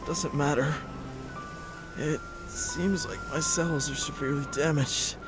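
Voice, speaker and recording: A man's voice: a little high, steady tone, unhurried, close to an everyday voice. A man voicing a wounded, wrathful golden dragon in a melodramatic comic dub. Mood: dazed, pained. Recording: loud music over the voice.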